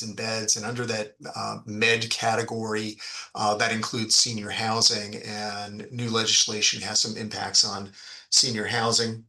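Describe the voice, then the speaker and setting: A man giving an explanatory business presentation as a fund executive, speaking haltingly with frequent ums and repeated words. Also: lowish voice, creaky, bright, forceful tone. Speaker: fund executive presenting on an investor earnings call.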